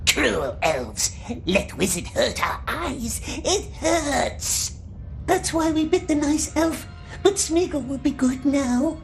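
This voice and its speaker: An actor voicing a wretched, scheming cave creature in a video game, with a strangled raspy whine and hissing, broken speech. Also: male voice, extremely high pitch, big pitch swings, slow, in a large hall, heavily acted. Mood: whining, fawning. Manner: hissing plural self-talk, broken grammar. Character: wretched, scheming, whining cave creature obsessed with his treasure. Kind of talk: theatrical video game acting. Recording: loud music behind the voice.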